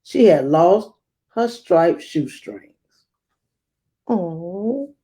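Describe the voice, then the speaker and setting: A woman reading a rhyming children's picture book aloud, acting it out with theatrical emphasis and dramatic pauses. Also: on the low side, very animated, mellow, slight background noise. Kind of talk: expressive rhyming storybook read-aloud.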